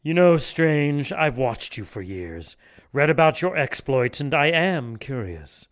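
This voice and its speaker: An actor playing a gloating, condescending villain bishop in an audio drama, with a pompous, taunting delivery. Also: male voice, a little high, very animated, takes it slowly. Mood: taunting, smug. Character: gloating male villain bishop plotting apocalyptic sacrifice.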